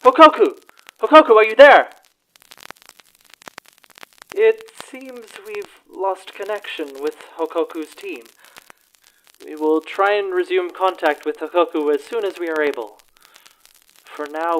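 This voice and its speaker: An actor plays an earnest evening news anchor in a radio drama. The phrasing is formal and theatrical, delivered in measured announcer fashion at a medium pitch. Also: fairly bright tone, close to an everyday voice, poor audio. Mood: alarmed.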